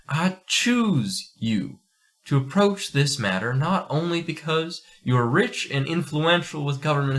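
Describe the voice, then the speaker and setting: A man's voice: a little high, fairly bright tone. A man playing an earnest, strict astronomer in an amateur radio play, speaking formally and emphatically. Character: earnest, agitated astronomer pleading for change, fatherly and strict.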